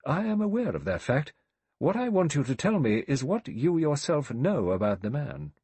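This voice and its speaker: An elderly judge from a storybook, acted out by a male narrator, speaks with dry authority and careful precision. His voice is pitched up and husky, with a lively melodic range. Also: dry recording, heavily acted. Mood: stern, probing. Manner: dry, measured, precise, commanding. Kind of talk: audiobook character voice.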